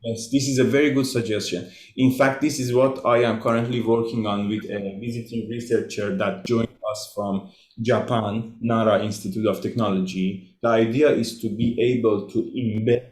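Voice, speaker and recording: A man whose native language is Farsi, presenting his research at an online journal club and answering questions in a measured, explanatory way over a video call. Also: fairly low pitch, fairly even intonation, a little mellow, echoey room. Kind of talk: academic journal club talk.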